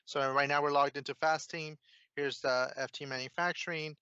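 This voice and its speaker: Male software consultant, informal explainer, steady even intonation, dry close sound. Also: a little high, normal speed, clean tone, no room sound, lo-fi sound. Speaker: software consultant, demo presenter. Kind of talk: casual screen-share software walkthrough.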